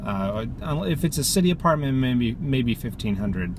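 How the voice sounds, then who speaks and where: A man's voice: medium pitch, lively intonation, normal speed. A man talking casually to viewers as a vlogger while driving, rambling and hesitating as he thinks aloud. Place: inside a car while driving in rain. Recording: loud car and traffic noise. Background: road and vehicle noise.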